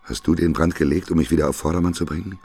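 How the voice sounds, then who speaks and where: Very deep, gravelly doom prophet. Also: male voice, racing, dark tone, heavily acted. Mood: sardonic. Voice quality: gravelly, husky. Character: grumbling, defiant male prophet of doom caught in fire. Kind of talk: radio drama acting.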